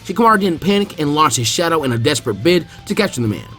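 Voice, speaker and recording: A man reading a fanfiction story aloud as narrator, in an animated, quick style. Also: fairly high pitch, soft background music. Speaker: fanfiction reader.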